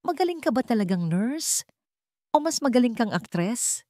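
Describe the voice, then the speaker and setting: A woman's voice: on the high side, sing-song, normal speed, dark tone, dry recording, pitched above the performer's own voice. Cold-hearted Filipino billionaire man, wounded and bitter, story dialogue, read by a woman, dry recording. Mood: bitter, accusing.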